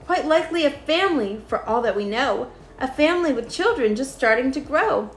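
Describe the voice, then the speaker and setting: A woman's voice: high voice, animated, brisk, roomy sound, a little hiss or hum. A woman voicing an earnest, kindly male elephant from a storybook, speaking in an emphatic, pleading way.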